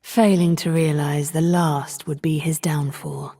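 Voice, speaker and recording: An ancient, vengeful video game sorceress. Her voice is lowish and husky, and she speaks with proud, theatrical menace, measuring out each haughty phrase. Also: steady tone, unhurried, warm tone, full character voice. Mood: bitter, resolute. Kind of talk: video game character voice lines.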